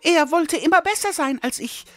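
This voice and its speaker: A woman's voice: extremely high pitch, expressive, moderate pace, fairly bright tone, soft background music. A woman playing a whiny, melodramatic goblin full of old grudges in a fantasy parody audio drama. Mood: bitter, wailing. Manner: melodramatic, hesitant.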